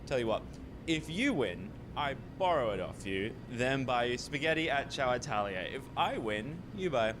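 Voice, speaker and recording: Casting: actor as a broke, jokey young male lab sidekick, very animated, radio farce, rumbly noisy sound. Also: moderate pace, natural voice. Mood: joking. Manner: clownish, swooping intonation. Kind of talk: radio play farce acting. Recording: noisy home recording with low rumble.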